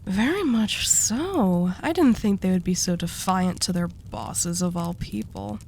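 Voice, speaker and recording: Woman acting as a slow-talking seductive mafia boss. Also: mid-range voice, vocal fry, darkish tone, a little hiss or hum. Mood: teasing, dominant. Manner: unhurried, teasing, coaxing menace. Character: dominant, seductive female mafia boss, possessive and teasing. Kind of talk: roleplay audio drama acting.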